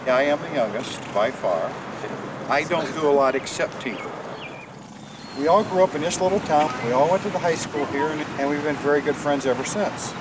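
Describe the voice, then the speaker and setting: A man in his fifties from Ohio, introducing himself in a relaxed, modest way in an outdoor junkyard. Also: a little high, a little fast. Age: 50s. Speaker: team member. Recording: distant mic outdoors, noisy.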